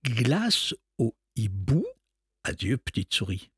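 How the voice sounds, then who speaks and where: A woman playing a timid owl in a children's story with a husky, hollow voice. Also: a bass voice, sing-song, slow pace, fairly bright tone, no echo, clean studio sound, heavily acted. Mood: alarmed. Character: timid pompous old owl.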